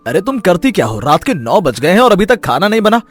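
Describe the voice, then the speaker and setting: A man's voice: sits high, sing-song, quick pace, soft background music. A man voicing husbands and schemers in a melodramatic cartoon moral story, with an emphatic, theatrical delivery. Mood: mock angry. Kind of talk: dramatic cartoon story dialogue.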